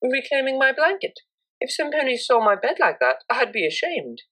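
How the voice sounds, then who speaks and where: A woman puts on a proper young mare cellist from a pony story, pitched up from plain narration. She is reserved and polite, choosing formal words with prim care. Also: very animated, moderate pace, fairly bright tone, slightly rough, heavily acted, low-quality recording.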